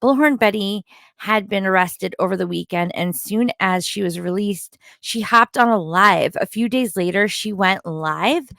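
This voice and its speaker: A woman's voice: mid-range voice, measured pace, creaky, fairly bright tone, no echo, clean studio sound. A woman delivering opinionated, partly scripted recap commentary in a critical, disapproving manner, like an online content creator.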